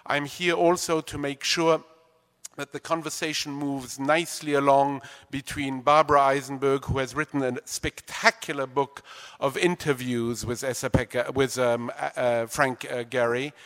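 A man's voice: medium pitch, level tone, moderate pace, fairly bright tone. A man hosting a library stage event, welcoming guests in an effusive, formal way with playful asides. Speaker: host. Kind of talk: stage introduction and reading. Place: large library event hall. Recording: reverberant auditorium.

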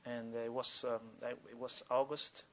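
A man from Cuba with a Cuban accent and Spanish as his first language, speaking spontaneously and haltingly as a guest author at a live talk. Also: mid-range voice, level tone, a bit bright. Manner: halting, with repeated words and broken phrases.

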